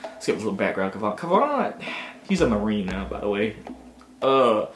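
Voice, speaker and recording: A man in his twenties casually telling a personal story in an animated, slangy way, often dropping in little check-in phrases. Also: fairly low pitch, normal speed, a little hiss or hum. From Augusta, United States. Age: 20s. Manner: animated, slangy, punctuated with "you know what I'm saying". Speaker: storytelling vlogger. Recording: roomy echo with music behind. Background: background music.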